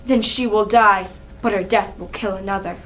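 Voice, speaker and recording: A woman's voice: medium pitch, fairly even intonation, normal speed, a bit bright, pitched below the performer's own voice, close to an everyday voice, rough recording. A woman playing a principled young prince who defies his father in a dramatic reading of Greek tragedy, with a cutting delivery. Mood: accusing. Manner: defiant, cutting.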